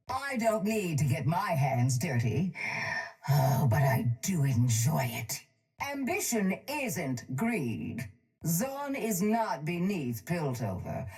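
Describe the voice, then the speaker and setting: A woman's voice: low voice, warm tone, full character voice. An actress voicing a ruthless, haughty chem baroness for a video game, cold and commanding, her voice filtered through a breathing mask. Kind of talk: video game character voice lines. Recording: music playing behind the voice.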